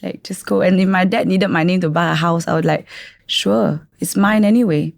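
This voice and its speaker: A young Malaysian woman in her twenties talks frankly on a podcast. Her voice is lowish, with a gravelly fry. The sound is close and dry. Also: steady tone, normal speed, no room sound. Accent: Malaysian English. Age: 20s. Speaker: podcast co-host. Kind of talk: casual podcast discussion.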